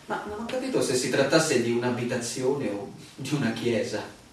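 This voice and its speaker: In a very echoey, distant radio play recording, a weary male stranger recounts his bewildering walk , as acted by a man. His pitch swings animatedly as he tells it with deliberate, theatrical emphasis. There is a faint layer of noise. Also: very animated, lightly acted. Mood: puzzled. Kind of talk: theatrical radio drama monologue.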